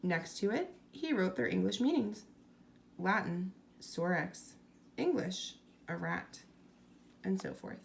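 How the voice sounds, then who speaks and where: A woman reads a storybook aloud as its narrator. Her pitch moves in lively swoops, and her voice often drops into a creaky fry. The delivery is scripted and expressive. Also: takes it slowly, pauses often, a little hiss or hum.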